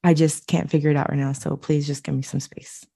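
A woman's voice: low-pitched, level tone, fairly quick, vocal fry, dark tone, slightly rough. A woman podcast host whose first language was Japanese, talking reflectively in a casual discussion. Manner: reflective, thoughtful, with frequent "like" and "I think".

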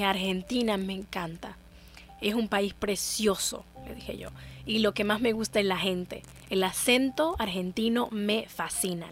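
A young woman from Central America recounts her own experiences in a conversational podcast monologue. She has a medium-pitched voice and often turns to her listeners, acting out remembered exchanges. A slight hum sits in the background. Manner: animated retelling, addresses listeners often, acts out dialogue. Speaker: podcast host. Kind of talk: personal storytelling monologue.

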